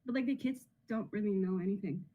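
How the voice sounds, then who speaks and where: A woman's voice: medium pitch, fast, dull audio. A woman in her twenties from Israel arguing in an online video chat, speaking with many fillers like "like". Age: 20s. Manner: filler-heavy, lots of "like".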